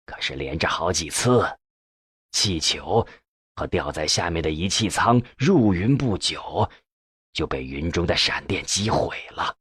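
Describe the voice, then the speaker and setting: A man voicing an ailing elderly professor in an audiobook, with a weak, breathy, halting voice. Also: low-pitched, lively intonation, fairly bright tone, no echo, clean studio sound, voice pushed down, heavily acted. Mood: measured, explanatory. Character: ailing elderly male professor, frail, eager about research.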